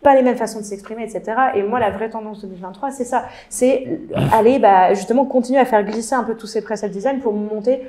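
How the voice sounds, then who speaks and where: Mellow-voiced woman, hesitant panel talk. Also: a little high, fast talker, hardly any pauses. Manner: hesitant, many fillers and restarts, opinionated. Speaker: content designer, panelist. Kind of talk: casual panel discussion.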